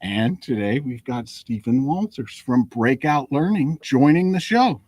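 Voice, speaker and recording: A man's voice: mid-range voice, expressive, unhurried, a little mellow, no echo. A man hosting a podcast in a conversational way. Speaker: podcast host.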